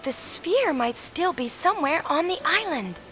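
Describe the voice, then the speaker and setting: A gentle, high-voiced young heroine. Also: female voice, animated, normal speed, faint music behind. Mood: teasing. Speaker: game character.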